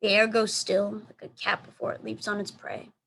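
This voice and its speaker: A woman teacher reading a children's storybook aloud in expressive narration. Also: mid-range voice, normal speed, low-quality recording. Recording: clear background noise.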